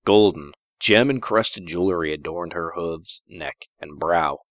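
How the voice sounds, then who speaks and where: A man's voice: sits low, a little slow, dry recording, studio quality. A man narrating a first-person story in a wry, theatrical way. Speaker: fan fiction reader.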